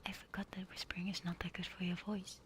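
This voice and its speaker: A woman doing a casual ASMR livestream in a breathy whisper and hushed stage whisper, flustered and halting, often repeating her words. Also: fairly low pitch, little pitch movement. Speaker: livestreamer hosting an ASMR stream.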